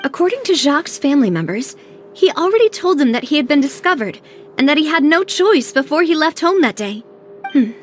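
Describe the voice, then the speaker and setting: A woman playing a poised, resolute young investigator in a video game, speaking in a deliberate, thoughtful way. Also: sits high, very animated, slight background noise. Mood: pondering, analytical. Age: young adult.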